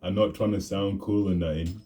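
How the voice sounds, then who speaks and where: A young man talks to camera in a loose, rambling way. His voice sits very low and sounds dark and mellow, pushed deep on purpose. The delivery stays laid-back and unhurried. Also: steady tone. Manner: deliberately deepened, laid-back voice. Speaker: vlogger. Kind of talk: rambling casual monologue.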